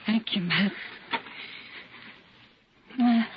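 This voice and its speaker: A woman's voice: medium pitch, drawn-out words, background noise. A woman playing a shaken but tough Old West hostage in an old radio western, weary and bitterly wry. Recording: dull vintage radio recording.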